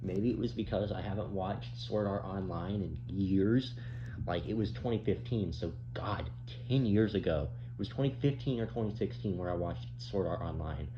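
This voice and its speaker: A young man in his twenties with a low voice rambles through casual commentary, stammering and restarting often. The recording is slightly dull, with a clear hum behind him. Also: normal speed. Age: 20s. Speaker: YouTuber giving opinions. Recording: muffled mic with clear background hum.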